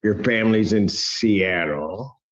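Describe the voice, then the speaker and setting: Male judge, teasing and wry, lowish voice, dull lo-fi courtroom sound; casting note. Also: slow. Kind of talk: joking courtroom banter.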